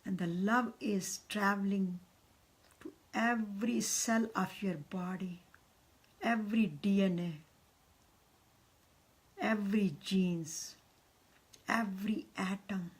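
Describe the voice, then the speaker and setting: A woman, leading a guided meditation in a soft, half-whispered voice. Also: medium pitch, fairly even intonation, slow pace, pauses often, breathy edge.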